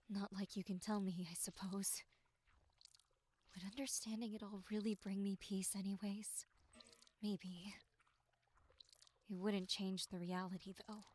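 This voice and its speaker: A woman voicing a tormented, despairing victim in a horror game monologue, with breathy delivery that slips into whispers. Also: mid-range voice, a little mellow, lightly acted. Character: tormented, despairing young victim musing bleakly on existence. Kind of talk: dramatic first-person horror game monologue.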